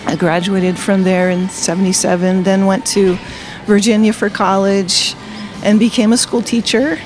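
A woman's voice: mid-range voice, little pitch movement, moderate pace, background noise. Cheerful woman in her sixties, laughing easily. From Delaware. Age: 60s. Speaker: guest, retired teacher. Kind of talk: personal testimony in conversation. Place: coffee shop.

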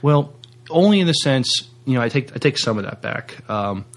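A man's voice: medium pitch, expressive, moderate pace. A man co-hosting a podcast in casual chat, speaking hesitantly and often correcting himself. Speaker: podcast co-host.

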